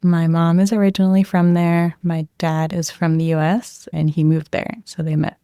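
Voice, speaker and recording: A young woman, a medical resident, answering interview questions in a soft, reflective way, often hedging with "I think" and "like.". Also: fairly low pitch, flat delivery, moderate pace, clear, resonant tone, no echo, clean studio sound. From Israel, raised near Boston.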